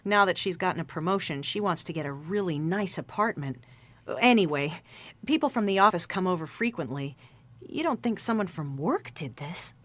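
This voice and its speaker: An actress playing a young, excitable, chatty office worker in a radio drama, gushing and earnest. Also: female voice, on the low side, moderate pace. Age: young adult.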